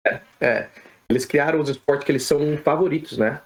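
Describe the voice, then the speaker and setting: A man from Brazil, a physiotherapist guest on a podcast, answering questions in a relaxed, conversational way with frequent tag questions and restarts. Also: on the high side, fairly quick, low-quality recording. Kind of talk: casual podcast interview answers.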